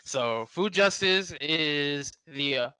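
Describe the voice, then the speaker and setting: A teenage boy from the Bronx talks casually from his kitchen, with "like" scattered through his speech. His voice is bright, smooth and clean, recorded very dry and close. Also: a little high, lively intonation, takes it slowly, dry recording. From the Bronx, New York. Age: teens. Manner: casual, uses "like" often. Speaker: student intern.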